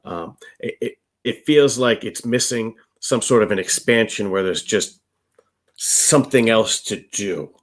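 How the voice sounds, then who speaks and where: Male co-host, middle-aged, on the low side. Loose, chatty review delivery that circles back and repeats words. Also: measured pace. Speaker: co-host of a board game review show. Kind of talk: casual chat, reviewing board games.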